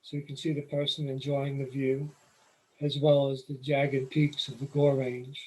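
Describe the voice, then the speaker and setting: A middle-aged man with a New York accent, explaining nature photography while walking through his slides in a relaxed, explanatory way. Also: medium pitch, normal speed, low-quality recording. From New York City. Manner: relaxed, explanatory, with occasional fillers. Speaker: photography presenter. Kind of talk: slide presentation lecture.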